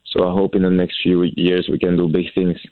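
Deep-voiced young Belgian man on a tinny line. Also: level tone, dry recording. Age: young adult. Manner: halting, many fillers and restarts. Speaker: college tennis player. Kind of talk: interview answers. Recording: tinny phone-like sound.

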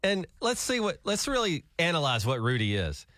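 A grown man co-hosting a morning radio show, easygoing in banter and contest plugs, his voice sounding rough and worn. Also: on the high side, wildly swooping pitch, moderate pace, pushed and bright, dry recording, studio quality. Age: adult. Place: radio studio. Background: music bed.

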